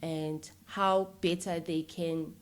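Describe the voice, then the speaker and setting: A woman's voice: deep voice, level tone, takes it slowly, smooth. A woman with a South African accent talking casually and conversationally as an interview guest. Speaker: interview guest, filmmaker and YouTuber.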